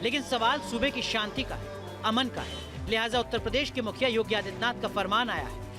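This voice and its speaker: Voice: mid-range voice, fairly quick. A news narrator reading a scripted, dramatic report in a pointed, rhetorical manner. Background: background music.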